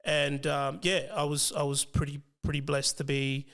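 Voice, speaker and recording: A young man with an Australian accent, whose first language was Fijian, talking casually as an interview guest, hesitant with many pauses and restarts. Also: fairly high pitch, flat delivery, fairly bright tone. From Western Sydney, Australia. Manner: hesitant, many ums and restarts, laughs easily. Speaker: financial planner guest.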